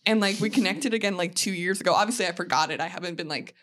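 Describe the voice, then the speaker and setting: A woman's voice: lowish voice, very fast, a bit bright. A woman comedian chatting casually as a podcast guest, telling stories in loose, filler-heavy phrases.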